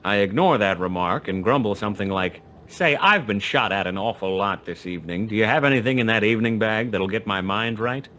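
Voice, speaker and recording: Low-voiced wry noir detective, played by a man. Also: brisk, few pauses, a bit bright, a little hiss or hum, close to an everyday voice. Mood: wry narration. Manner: wry, terse, slangy. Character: wry male hard-boiled private detective, narrating his own case. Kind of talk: 1940s noir radio play acting, first-person narration.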